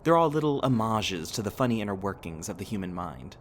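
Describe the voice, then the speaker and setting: A low-voiced, cheerful robot companion acted by a man with very animated intonation. He is polite and literal, phrasing everything formally and precisely as in a sci-fi audio roleplay. Faint ambient noise sits behind him. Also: brisk. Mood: cheerful, helpful. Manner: formal, precise, over-explaining wording. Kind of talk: scripted ASMR sci-fi roleplay.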